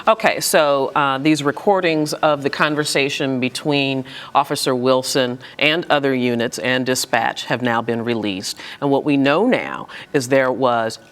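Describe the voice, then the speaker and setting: A man's voice: medium pitch, unhurried, few pauses, a bit bright, clear, resonant tone. A man working as a news anchor, presenting broadcast news and interviews in a studio. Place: television studio.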